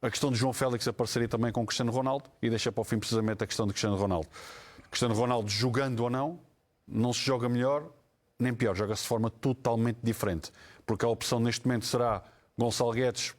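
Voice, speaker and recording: A man's voice: mid-range voice, steady tone, fast talker. A man with a European Portuguese accent giving analytical football commentary on a panel show. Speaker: football pundit.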